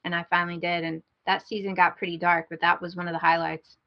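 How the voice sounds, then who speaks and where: A woman's voice: on the low side, flat delivery, fast, dull audio. A woman co-hosting a casual podcast, chatting informally with a wry manner, recorded over an internet call. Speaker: podcast co-host.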